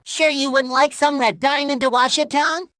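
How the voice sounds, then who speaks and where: A chirpy little cartoon salesman, pitched high and very dry. He pushes his product with perky insistence and a cheerful streak of menace, like a character in an old TV ad skit. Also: steady tone, moderate pace, pushed and bright, dry recording. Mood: perky, pushy.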